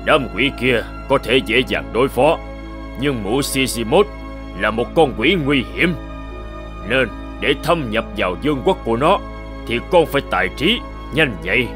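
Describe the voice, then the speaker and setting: A man voicing a solemn, commanding old bearded god who instructs gravely in a cartoon fairy tale. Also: mid-range voice, lively intonation, a little slow, music in the background, lightly acted. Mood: grave, instructive.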